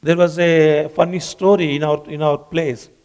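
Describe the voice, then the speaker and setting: A man's voice: fairly high pitch, level tone, normal speed, a little mellow, clear, resonant tone. A man whose first language is not English, preaching a sermon earnestly and repeating phrases for emphasis. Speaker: pastor.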